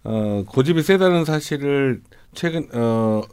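A man speaking as a psychiatrist on a radio panel, giving conversational advice in a measured manner. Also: mid-range voice, lively intonation, darkish tone, clean tone, no room sound.

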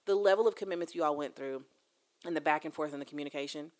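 A woman's voice: low voice, fast talker. A woman hosting a casual conversation, speaking emphatically and building points through repeated phrases. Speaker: interview host.

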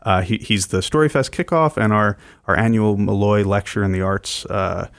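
Man, low voice, radio co-host, informal event rundown, enthusiastic, halting delivery, dry acoustic. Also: creaky, dry recording. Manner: hesitant, frequently pausing and repeating words. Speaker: radio co-host and festival programmer. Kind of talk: informal radio program talk, explaining events.

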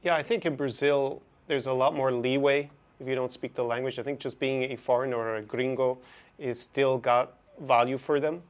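A man's voice: mid-range voice, fairly even intonation, moderate pace. Male podcast host in conversation. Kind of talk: conversational interview.

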